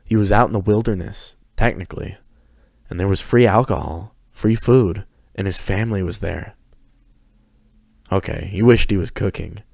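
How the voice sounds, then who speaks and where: A man's voice: low voice, normal speed, creaky, a little mellow, no room sound, clean studio sound. A man reading scripted fiction aloud as the narrator of a dramatic story.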